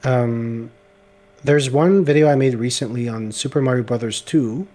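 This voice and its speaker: Brief: male, French first language, slightly gravelly, hesitant casual talker, mid-range pitch, laptop mic. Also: normal speed, warm tone. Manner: hesitant, many "like" fillers. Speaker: guest video creator. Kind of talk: casual podcast chat. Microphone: laptop microphone.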